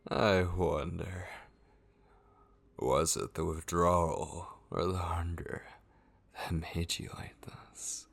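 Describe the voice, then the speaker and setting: Casting: male actor as possessive, unhinged male captor for horror ASMR; gravelly, husky, taunting and devoted, unhurried delivery. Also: low voice, steady tone, heavily acted. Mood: mocking, menacing. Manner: slow, lingering, repeating words, menacingly tender. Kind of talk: ASMR horror roleplay acting.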